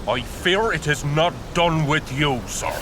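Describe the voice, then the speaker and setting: An actor playing a grave, dogged tracker in a gothic audio drama, solemn and plain-spoken. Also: male voice, on the high side, expressive, unhurried, noisy background. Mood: reproachful. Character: grave, dogged, defiant tracker serving a doomed lord.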